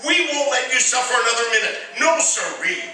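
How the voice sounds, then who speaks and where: Casting: actor as jubilant reformed miser, radio drama, bright, high, animated and hearty. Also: male voice, a little fast, exaggerated voice. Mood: elated. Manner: hearty, excitable exclamations. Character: exuberant reformed miser husband, bursting with goodwill. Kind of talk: radio play acting. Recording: echoey hall from far off, faint noise.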